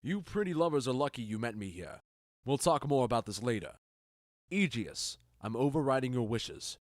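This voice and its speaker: A man's voice: medium pitch, animated, normal speed, dry recording, studio quality, natural voice. A man playing a genial, commanding duke in a Shakespeare audio drama, stately and authoritative. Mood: decisive, gracious.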